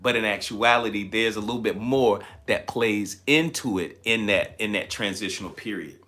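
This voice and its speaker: Bright, forceful man giving a motivational talk. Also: fairly low pitch, moderate pace. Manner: emphatic, repeats phrases for effect. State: slightly raspy, tired voice from shouting the night before. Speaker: livestream host, motivational speaker. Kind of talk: motivational livestream talk, preaching-like.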